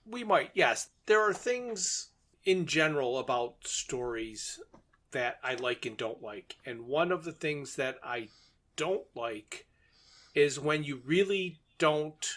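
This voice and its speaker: A man's voice: on the high side, animated, slow pace, fairly bright tone. A man co-hosting a podcast, recapping and commenting on a story in an informal, orderly way. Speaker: podcast co-host. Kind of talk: plot recap in casual podcast talk.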